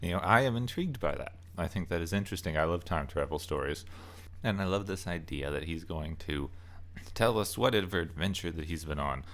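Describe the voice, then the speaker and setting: A man's voice: deep voice, brisk, fairly bright tone. A man giving casual, opinionated commentary as a game reviewer, rambling a little and stressing key words. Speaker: video essayist and game reviewer. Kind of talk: casual loosely scripted commentary.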